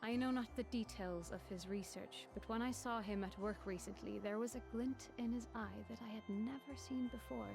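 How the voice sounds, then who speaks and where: An actress playing a composed, formal woman in a game cutscene, measured in speech and quietly caring. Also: on the high side, vocal fry. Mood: wistful. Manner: measured, formal, archaic wording. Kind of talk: dramatic video game cutscene acting. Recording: music behind the voice.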